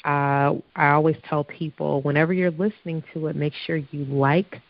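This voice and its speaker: A woman hosting a podcast in a casual, chatty, upbeat way, her voice hoarse from allergies. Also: low voice, flat delivery, no echo, dull audio. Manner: chatty, upbeat, quick to laugh. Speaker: podcast host. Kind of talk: casual chat.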